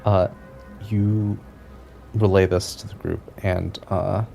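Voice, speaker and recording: A man narrating a tabletop game as game master, improvising suspensefully with pauses in mid-sentence. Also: deep voice, level tone, creaky, dark tone, soft background music.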